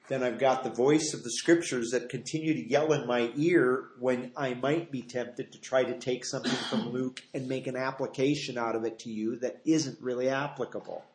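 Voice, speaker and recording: An American man teaches scripture in the manner of a pastor leading a study. His voice sits a little high for a man. He explains in a conversational way, leaning on repeated phrases for emphasis, and the room adds a clear echo. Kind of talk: expository Bible teaching, partly conversational. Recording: roomy, echoing live teaching space.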